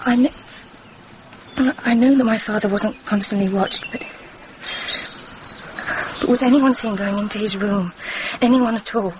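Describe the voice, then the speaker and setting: A woman's voice: medium pitch, a little slow, long pauses, natural voice. A woman playing a composed young noblewoman. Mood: insistent. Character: composed, determined young noblewoman, bereaved daughter. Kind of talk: radio drama acting. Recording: noisy radio drama recording.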